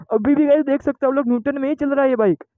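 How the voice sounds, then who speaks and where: A young man from Gujarat chatting excitedly to viewers while riding a motorbike, recorded on a helmet mic. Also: very high voice, a little fast, dry recording. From Gujarat, India. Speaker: motovlogger. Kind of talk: casual motovlog narration while riding. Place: outdoors on a moving motorbike. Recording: muffled lo-fi audio with wind noise.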